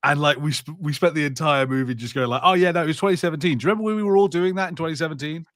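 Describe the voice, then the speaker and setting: A man's voice: on the high side, rapid-fire, a bit bright, dry recording, studio quality. A man co-hosting a podcast in casual film chat, joking and swearing freely. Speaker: podcast co-host. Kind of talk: casual watch-party chat about films.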